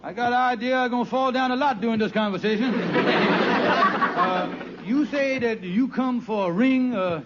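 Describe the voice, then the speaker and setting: A bumbling, folksy schemer in an old radio comedy. His voice sits very high, with stammers and mangled grammar. The recording is dull and clearly noisy. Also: normal speed, over a noisy crowd. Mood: sheepish bluffing. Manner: stammering, folksy ungrammatical talk. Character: bumbling, fast-talking male schemer playing dumb. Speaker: sitcom actor. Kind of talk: 1940s-50s radio comedy acting.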